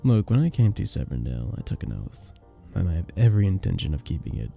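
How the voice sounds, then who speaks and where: A very dark, velvety male vampire bartender acted out by a man in an ASMR roleplay. He is sweet, earnest and flirtatious, speaking softly and hesitantly. The pitch is low and swings expressively, with soft music underneath. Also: very animated, fast, creaky, heavily acted. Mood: earnest, hopeful. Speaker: audio roleplay performer. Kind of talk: ASMR romantic roleplay acting. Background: soft background music.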